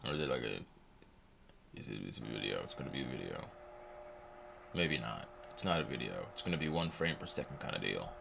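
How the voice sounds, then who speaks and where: A man's voice: very deep voice, animated, fairly quick, creaky, long pauses. A man reading on-screen text aloud and breaking off into chatty, incredulous asides as he reacts to a video. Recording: loud background noise.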